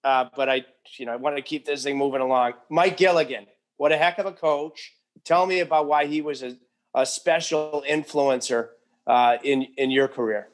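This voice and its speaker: A man's voice: mid-range voice, moderate pace. A man in his forties hosting a casual interview, joking and asking rambling, friendly questions. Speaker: podcast host.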